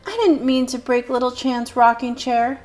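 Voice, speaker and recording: A woman's voice: on the high side, animated, normal speed, a little mellow, faint music behind, pitched above the performer's own voice, natural voice. Woman putting on a sleepy, flustered young girl Goldie. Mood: apologetic. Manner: breathless exclamations, later apologetic.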